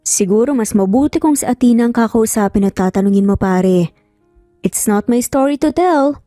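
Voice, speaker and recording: A woman voicing a calm, protective young man, a loyal friend who talks gently and in measured phrases in an audio story. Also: on the high side, no echo, studio quality, soft background music, lightly acted. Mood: reserved.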